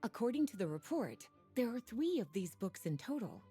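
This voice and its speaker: A woman voicing a poised, playful and knowing sorceress-librarian in a video game, with soft, breathy, unhurried, teasing delivery. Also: on the high side, very animated, soft background music, full character voice. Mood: intrigued. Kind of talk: video game character dialogue.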